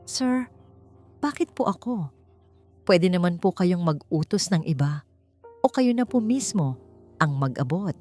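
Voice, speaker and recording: A woman's voice: fairly low pitch, expressive, measured pace, long pauses, warm tone, faint music behind. Woman acting as young female housekeeper, anxious, humble, trembling, deferential, hesitant, audiobook drama. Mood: puzzled, hesitant.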